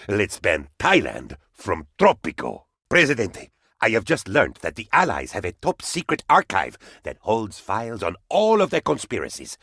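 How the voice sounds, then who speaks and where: An actor playing an excitable, fawning presidential advisor on a tropical island in a video game, with a theatrical, melodramatic, flattering manner. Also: male voice, low-pitched, gravelly, breathy edge, no room sound, studio quality, full character voice. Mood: conspiratorial, eager. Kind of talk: animated video game voice lines.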